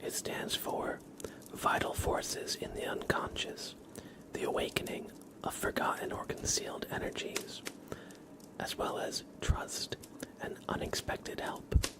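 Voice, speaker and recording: An American man hosting an ASMR livestream, speaking in a soft, breathy whisper with an unhurried, gently reassuring manner. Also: poor audio. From East Coast of the United States, near New York. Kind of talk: whispered ASMR, casual livestream chat and card reading.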